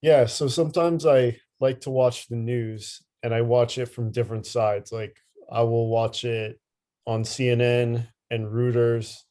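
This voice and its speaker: A man in a casual group discussion, speaking in a flat, even way from a distance from the mic. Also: medium pitch, unhurried. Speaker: discussion participant.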